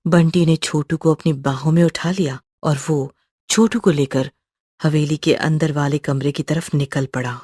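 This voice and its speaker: A woman's voice: low-pitched, steady tone, unhurried, darkish tone, breathy edge, no room sound, clean studio sound. A woman narrator reading a scripted horror story.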